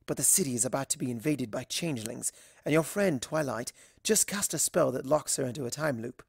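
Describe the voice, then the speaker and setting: A man's voice: medium pitch, expressive, a little fast, gravelly, husky, no echo. A man acts out an eccentric male pony doctor for a storybook tale. His delivery is theatrical and earnest, swinging expressively up and down, recorded dry and close. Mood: urgent. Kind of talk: storybook character dialogue.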